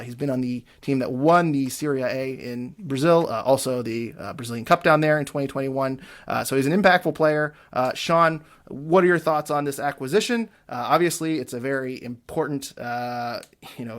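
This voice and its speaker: A man hosting a casual soccer podcast, chatting loosely with many filler words and self-corrections. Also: medium pitch. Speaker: podcast host. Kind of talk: casual sports podcast chat.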